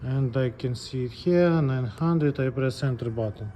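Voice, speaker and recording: Slow, dark-voiced man giving a technical tutorial. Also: mid-range voice, slight background noise. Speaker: presenter of a scale calibration tutorial. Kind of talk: unscripted step-by-step technical demonstration.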